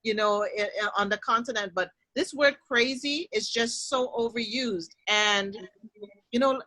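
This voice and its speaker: A woman's voice: fairly high pitch, measured pace, bright, forceful tone, no room sound, rough recording. A woman from Trinidad with a Trinidadian accent, hosting a panel discussion and speaking earnestly and emphatically.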